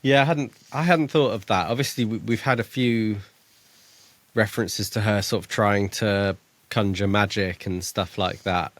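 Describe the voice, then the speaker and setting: A man's voice: lowish voice, normal speed, fairly bright tone, no room sound. A man co-hosting a podcast, musing about a book in a hesitant, thoughtful way. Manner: thoughtful, hesitant, trails off and restarts. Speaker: podcast co-host. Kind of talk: casual book discussion.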